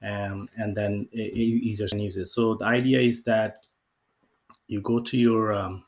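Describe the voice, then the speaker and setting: A man with a fairly low, noticeably creaky voice talks through an on-screen software tutorial. His delivery is unscripted and hesitant, circling back over phrases as he explains. The intonation stays quite flat throughout. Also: unhurried. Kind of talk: casual screen-share walkthrough, unscripted. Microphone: off-camera voice-over for screen recording.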